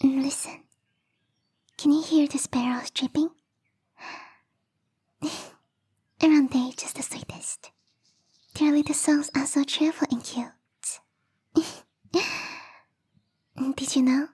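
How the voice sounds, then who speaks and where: Woman as a high, whispering, bubbly young snow spirit. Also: steady tone, slow pace, pauses often. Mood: tender, cheerful, then bubbly, chatty. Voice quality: soft, breathy whisper. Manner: bubbly, giggly, coy rambling. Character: bubbly, lovestruck young female snow-spirit yuki onna, lonely and rambling. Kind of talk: ASMR roleplay, whispered and intimate.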